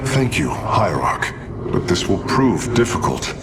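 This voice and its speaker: A man's voice: deep voice, sing-song, unhurried, gravelly, very noisy, full character voice. A man voicing an earnest, cautious alien engineer in a game cutscene, with precise, formal delivery. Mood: earnest doubt. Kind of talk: dramatic scripted game cutscene acting.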